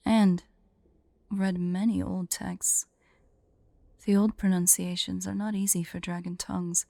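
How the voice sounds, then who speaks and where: Woman voicing a slow, haughty, teasing dragoness. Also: fairly low pitch, dark tone, no echo. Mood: proud, playful. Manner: slow, imperious, playful, breathy near-whispers at times. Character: haughty, teasing female dragon, vain and secretly fond. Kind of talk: intimate ASMR roleplay and storytelling.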